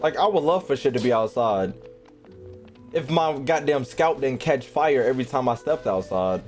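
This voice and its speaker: A man's voice: mid-range voice, expressive, moderate pace, a little mellow. A man giving casual, blunt gaming commentary as a streamer, full of slang and swearing, with game music behind. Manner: blunt, irreverent, profane.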